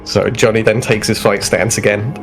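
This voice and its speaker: A man's voice: fairly low pitch, flat delivery, moderate pace, music in the background, rough recording. A man playing a tabletop roleplaying game, casually describing what his character does.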